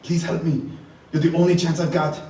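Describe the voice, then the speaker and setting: A man's voice: a little high, little pitch movement, a little fast, warm tone, close to an everyday voice. A man playing an intense, commanding action-hero father, desperate and blunt, in a live radio play. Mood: grave, urgent. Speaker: amateur stage voice actor. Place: large indoor hall before an audience. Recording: echoey rehearsal hall, far mic, noisy.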